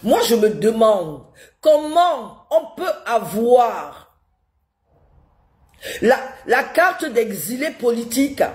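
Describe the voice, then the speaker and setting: A woman with a head cold ranting live to her viewers, indignant and scolding, repeating rhetorical questions. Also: on the high side. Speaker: live streamer commenting on politics. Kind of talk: live-stream rant to viewers.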